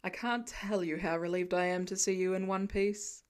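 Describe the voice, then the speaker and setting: A woman voicing a calm, fatherly middle-aged male lieutenant in a narrated story, measured and polite. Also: on the low side, smooth, voice pushed down, natural voice. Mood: relieved.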